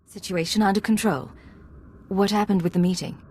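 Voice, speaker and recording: An actress playing a self-assured secret agent in a video game, with a controlled, clipped, dry delivery. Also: female voice, medium pitch, fairly even intonation, brisk, darkish tone, slight background noise, lightly acted. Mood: businesslike. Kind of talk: video game spy acting.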